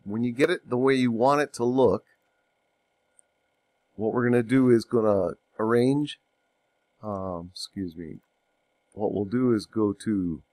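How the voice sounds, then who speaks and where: Male tutorial narrator, slightly stuffy from a cold; low-pitched, dry close mic, relaxed and hesitant. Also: dry recording. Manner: unhurried, thinking aloud with ums and self-corrections. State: getting over a cold. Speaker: software tutorial instructor. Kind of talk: screen-recorded software tutorial.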